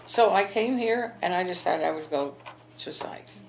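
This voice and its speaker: A woman's voice: lowish voice, moderate pace, gravelly, a little hiss or hum, rough recording. Older woman telling memories, rambling. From eastern United States. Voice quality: rambling storyteller with restarts. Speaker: interviewee, alumna. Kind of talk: oral history interview.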